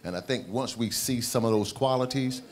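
A man on a panel speaking in a measured, reflective way. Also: medium pitch. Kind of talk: casual panel interview.

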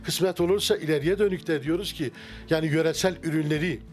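A middle-aged man, a restaurant owner, answering interview questions in a relaxed, halting way with many fillers and restarts, inside a wooden-walled restaurant. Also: on the high side, faint music behind. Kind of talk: casual interview. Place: wood-paneled restaurant interior. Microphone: handheld mic held by interviewer.

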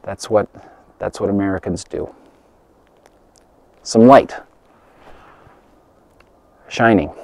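A middle-aged man preaching a video sermon outdoors, earnest and halting, restarting phrases and rising in emphasis. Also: fairly low pitch, gravelly, darkish tone, no room sound. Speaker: pastor. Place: outdoors by a snowy lakeshore in winter.

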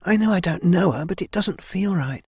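Man voicing an earnest young warrior woman, high-pitched. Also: normal speed, slightly rough, no room sound, clean studio sound. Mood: conflicted, pleading.

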